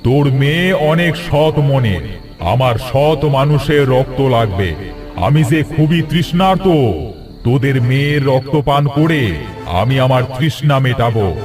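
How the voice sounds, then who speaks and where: A man voicing a menacing, bloodthirsty old tree monster in a cartoon fairy tale, with drawn-out dramatic delivery. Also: mid-range voice, animated, music in the background.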